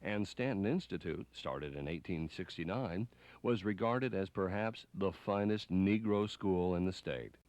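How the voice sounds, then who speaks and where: A man's voice: low-pitched, a little slow, a little mellow, no echo. A man reading scripted narration as the narrator of a documentary.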